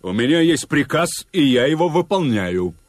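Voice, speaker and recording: Актёр играет подозрительного, угрожающего офицера тайной полиции, который отрывисто допрашивает в советской радиопостановке. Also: male voice, fairly high pitch, sing-song, normal speed, dry recording, studio quality. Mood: curt, suspicious. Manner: curt, threatening, interrogating. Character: menacing, suspicious secret police officer following orders. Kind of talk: Soviet radio drama acting.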